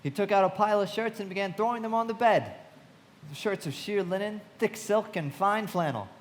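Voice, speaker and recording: A man's voice: high voice, a little fast, close to an everyday voice. A man acting in a live radio play as a frank, observant young narrator telling his memories in a theatrical way. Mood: reflective, descriptive. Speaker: narrator and actor. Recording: long hall reverb, clear background noise.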